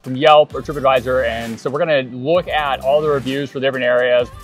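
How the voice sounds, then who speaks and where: A man in his thirties with an average-pitched voice talks in an easygoing, chatty way as he reads airline reviews. He is recorded on a lapel mic in an open airport terminal, with faint music underneath. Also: fairly even intonation, a little fast. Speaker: travel vlogger co-host. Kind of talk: casual chat, reading reviews aloud. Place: large airport terminal, indoors. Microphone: clip-on lapel mic.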